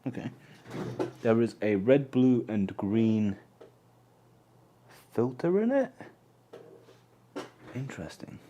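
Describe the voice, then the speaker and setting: A man's voice: mid-range voice, sing-song, slow pace, pauses often, warm tone, lo-fi sound. A man, born in Poland and raised in England, chatting on a live stream while he takes apart an old camera. He hesitates, restarts his sentences and murmurs to himself as he works. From born in Poland, raised mostly in England. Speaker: electronics livestream host.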